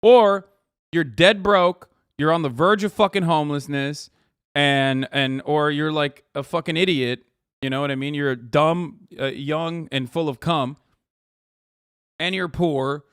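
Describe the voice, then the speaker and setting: A man's voice: on the high side, unhurried, fairly bright tone, clear, resonant tone, no room sound, clean studio sound. A man in his thirties from Turkey, ranting casually and profanely while reacting to clips as a streamer. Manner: profane, emphatic, rambling with restarts.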